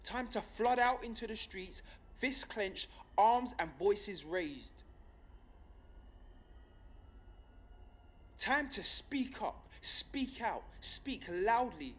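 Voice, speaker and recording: Solemn rebel radio broadcaster, deliberate man. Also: sits high, lively intonation, pushed and bright, exaggerated voice. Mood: rousing resolve. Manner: deliberate, solemn, rhetorical repetition. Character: earnest male pirate radio broadcaster rallying a city to revolt. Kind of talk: dramatic scripted radio monologue.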